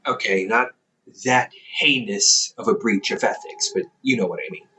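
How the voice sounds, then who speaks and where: A man's voice: on the low side, moderate pace, bright, forceful tone, rough recording. A man voicing a playful young adult older brother who works as a company therapist, teasing the listener and laughing often in an audio roleplay.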